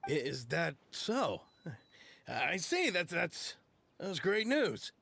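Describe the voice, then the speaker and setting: A slow, gravelly voice for a timid man in a video game. He is meek and hesitant, halting and husky over faint music. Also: a little high, big pitch swings, sharp tone, full character voice. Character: meek, apologetic, hesitant male filmmaker.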